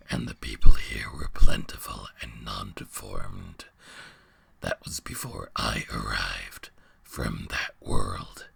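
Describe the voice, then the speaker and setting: A man voicing a weary, sorrowful giant beast in a slow, grave, breathy growling whisper for a dramatic fantasy story reading. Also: very deep voice, bright, forceful tone, no room sound, voice pushed down, exaggerated voice. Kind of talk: dramatic fan fiction reading.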